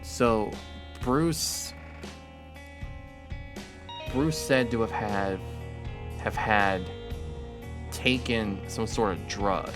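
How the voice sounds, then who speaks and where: A man hosting a reaction video with casual, slangy commentary, moving between animated exclamations and muttering. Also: on the low side, very slow, pauses often, music in the background. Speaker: YouTube reaction host.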